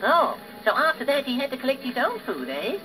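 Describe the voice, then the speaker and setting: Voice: high voice, big pitch swings, fairly quick, music in the background. A performer voicing a cheeky, curious British boy ventriloquist's dummy on a 1950s children's gramophone record. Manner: eager, questioning. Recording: old 78rpm gramophone record, distant.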